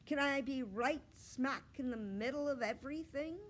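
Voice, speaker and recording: A woman's voice: high-pitched, normal speed, fairly bright tone, voice pushed up. A woman voicing a confident, theatrical little schoolgirl who loves attention, in a storybook reading, with a self-assured, showy manner.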